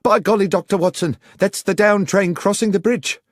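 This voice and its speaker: A man's voice: high voice, normal speed, no echo, clean studio sound, pitched above the performer's own voice. A man playing a cautious, deferential railway station master who is careful of his good name, in an audiobook. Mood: anxious. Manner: deferential, hesitant.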